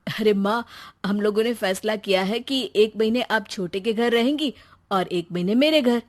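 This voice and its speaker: A woman's voice: medium pitch, normal speed, darkish tone, lightly acted. A woman voicing an exasperated, insistent grown elder son in a family moral story. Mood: explaining.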